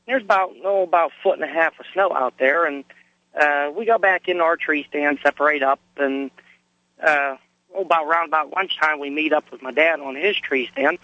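A man from Pennsylvania, calling a radio show while hoarse from a cold, telling a story in a plain, folksy way. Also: a little high, fairly quick, no echo. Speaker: caller. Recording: dry, muffled phone line.

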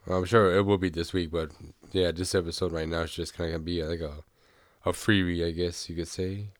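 A young man hosting a casual podcast, sick, who rambles easily and keeps clearing his throat. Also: low voice, fairly quick, no room sound. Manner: relaxed, rambling, with frequent throat clearing and small laughs. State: sick, voice a bit off. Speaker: podcast host. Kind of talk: casual chat about music news.

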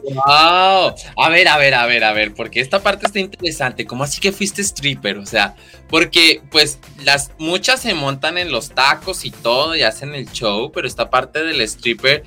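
Podcast host guy, really bright sound, peppy, asks lots of questions. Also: fairly high pitch, lively intonation, moderate pace, faint music behind. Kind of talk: podcast interview.

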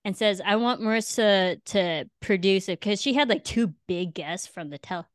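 A young woman hosting a casual podcast, with an easy, chatty delivery and a fondness for poking fun at herself. Her voice sits at an ordinary middle pitch. It is recorded close and dry, with no room sound. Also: moderate pace, dry recording. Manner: chatty, self-mocking storyteller. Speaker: podcast host. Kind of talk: casual chat with a friend.

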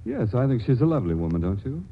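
An actor playing an earnest, awkward, lovesick suitor in a 1940s radio drama, halting and stammering as he adores and pleads. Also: medium pitch, big pitch swings, slight background noise. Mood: tentative. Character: earnest, awkward, lovesick scientific young suitor, hesitant and adoring. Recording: tinny, band-limited 1940s radio broadcast recording.

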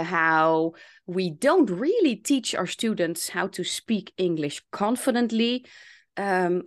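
A woman in her forties with a Dutch accent, talking as a guest on a podcast. She tells her story in long, reflective stretches and voices her own past thoughts aloud. Her voice sits at a middle pitch. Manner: reflective, speaks in long runs with quoted inner thoughts. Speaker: English coach, guest.

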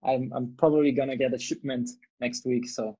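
A man's voice: medium pitch, little pitch movement, brisk, warm tone, breathy edge, dull audio. A man from Austria hosting a podcast, chatting in an easygoing, agreeable way. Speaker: podcast host. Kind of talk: casual chat.

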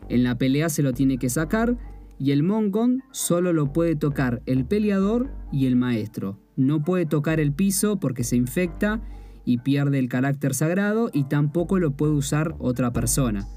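A young man from Argentina with an Argentine Spanish accent, calmly explaining a martial art and restating points with asides. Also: a little high. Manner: measured, explains terms with "o sea" asides. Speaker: martial arts practitioner presenting. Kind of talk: explanatory presentation. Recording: faint guitar music behind.

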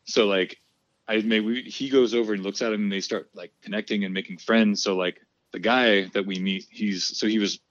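A man's voice: on the low side, steady tone, moderate pace, no room sound. Rambling Californian guy, dull dry sound. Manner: rambling storyteller, heavy "like" and "dude", laughs often. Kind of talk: casual chat.

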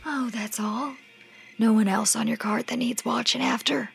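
An actress playing a vindictive, self-righteous Confederate soldier in a radio drama, formal and commanding. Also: female voice, a little high, steady tone, moderate pace, creaky, soft background music. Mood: menacing.

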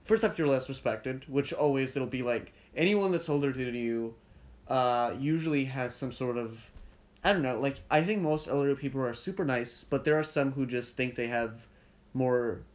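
A man's voice: mid-range voice, moderate pace. A young man from Slovenia, a podcast guest, talking casually with rambling sentences and false starts. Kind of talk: casual conversation.

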